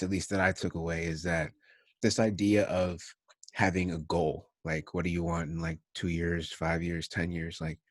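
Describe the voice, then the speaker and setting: A young man hosting a casual video call interview, reflective and animated, laughing often and saying "like" a lot. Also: low voice, dry recording. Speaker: interviewer, host. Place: indoor room at home. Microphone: video call, laptop webcam mic.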